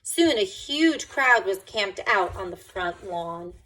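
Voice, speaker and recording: A woman's voice: mid-range voice, lively intonation, slow, fairly bright tone, a little hiss or hum, rough recording. A woman reading a picture book aloud to children, expressively and with dramatic emphasis.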